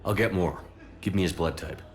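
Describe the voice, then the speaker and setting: Man playing a deep-voiced, resolute military commander. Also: a little fast, natural voice. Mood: firm. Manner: firm, plain, controlled. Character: resolute, stoic military commander, heroic and direct.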